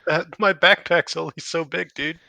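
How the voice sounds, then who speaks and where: Dude in a dnd game, bright kinda sharp voice, sheepish, laughs stuff off. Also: male voice, a little high, fairly even intonation, normal speed, vocal fry, no echo, low-quality recording. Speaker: player. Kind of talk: casual tabletop banter.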